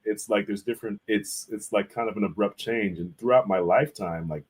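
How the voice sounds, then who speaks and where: A man's voice: fairly low pitch, steady tone, a little fast, husky. A middle-aged man speaking casually as an interview guest, rambling through stories and acting out quotes as he goes. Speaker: interview guest, visual artist and art teacher.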